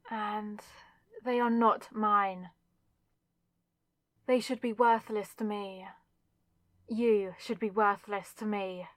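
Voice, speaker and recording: A woman playing a possessive, lovesick demonic villainess in a dramatic ASMR audio roleplay, speaking with intense, deliberate pauses. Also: mid-range voice, no room sound. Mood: anguished.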